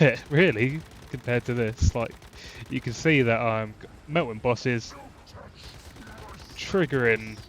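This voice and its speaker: A man's voice: mid-range voice, a bit bright, a little hiss or hum. A man with a stuffy, blocked-up voice from a cold, casually explaining a game build with lots of fillers and restarts. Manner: rambling, frequent fillers and restarts. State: congested from a cold. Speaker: gaming channel host. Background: game gunfire and explosions.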